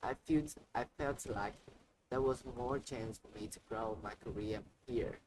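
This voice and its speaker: A man voicing Mally, an eager guest working in finance who speaks haltingly, with stumbling restarts. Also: on the low side, lightly acted. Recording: noisy ambient background.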